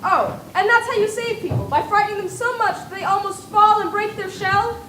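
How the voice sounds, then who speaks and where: A woman's voice: very high-pitched, a little fast, bright, forceful tone, echoey room, far from the mic, slight background noise, low-quality recording. A woman playing a fussy, touchy male egg in an amateur stage play. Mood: huffy. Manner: huffy, prim, easily offended.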